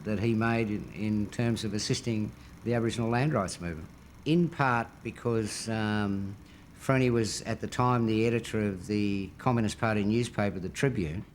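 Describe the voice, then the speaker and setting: A man's voice: lowish voice, moderate pace, a little hiss or hum. Older Australian man recalling in interview. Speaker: interviewee, former activist. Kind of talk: reflective interview recollection.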